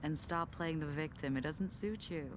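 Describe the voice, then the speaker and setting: A woman playing a racing driver's supportive, teasing partner, frank and gently chiding, in a natural video game cutscene style. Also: deep voice, normal speed, lightly acted. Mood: teasing, encouraging. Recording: clear background ambience noise.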